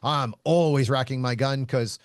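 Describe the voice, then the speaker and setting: A man who hosts a podcast and teaches shooting, talking casually in a loud voice, blunt, laughing often and swearing freely. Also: mid-range voice, moderate pace, no room sound, clean studio sound. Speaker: shooting instructor and podcast host.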